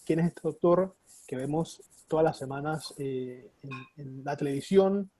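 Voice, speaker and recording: A man's voice: a little high, a little slow, mellow, breathy edge, no echo. A man hosting an interview, courteously asking his guest questions and addressing him as doctor. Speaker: interview host.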